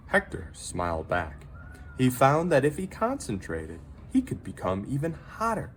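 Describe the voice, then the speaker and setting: A man reads a children's story aloud in an expressive, dramatic storyteller manner, pausing for effect. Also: fairly low pitch, slight background noise. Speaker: teacher reading a picture book aloud.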